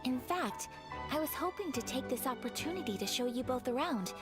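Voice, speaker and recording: A composed young noblewoman, polite and formal in every phrase. Her voice sits a little high. Game music plays clearly behind her. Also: expressive, brisk. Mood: gracious. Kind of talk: video game voice acting.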